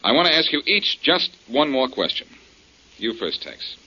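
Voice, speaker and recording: An actor playing a quick-witted, sardonic, self-assured amateur detective in a 1940s radio drama, with a glib way of talking. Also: male voice, medium pitch, sing-song, bright, forceful tone, breathy edge, heavily acted. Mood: confident probing. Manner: wisecracking, glib. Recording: dull lo-fi 1940s radio recording.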